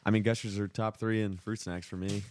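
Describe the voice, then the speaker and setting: A young man with a deep voice chats casually as a podcast co-host. His talk is informal and conversational. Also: level tone, a little fast, a little mellow, a little hiss or hum. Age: young adult. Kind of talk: casual chat.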